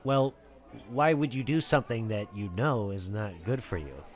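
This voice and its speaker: A man's voice: lowish voice, expressive, normal speed, soft background music, natural voice. A man playing a fussy, nervous accountant on the run, stammering and politely persistent in an acted film script read. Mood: prodding. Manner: hesitant, stammering, earnestly nagging.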